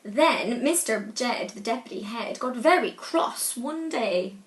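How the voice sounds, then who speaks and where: A woman reads a children's story aloud with animated, deliberate expression. Her voice is high and she takes her time over each phrase. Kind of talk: expressive picture-book read-aloud. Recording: echoey, roomy sound.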